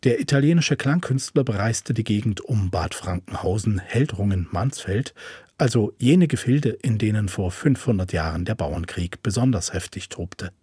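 A male radio announcer gives a scripted, measured read. His voice is low and dark, breathy rather than clear, and recorded dry in a booth. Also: expressive, no echo.

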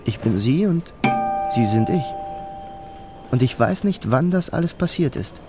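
An uneasy traveler, voiced by a man, narrates his passage in a radio play, introspective and pressing in tone. His mid-range voice sits over a clear music bed. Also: mid-range voice, expressive, a little slow, close to an everyday voice. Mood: brooding. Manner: introspective, insistent. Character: anxious male traveler narrating in first person.

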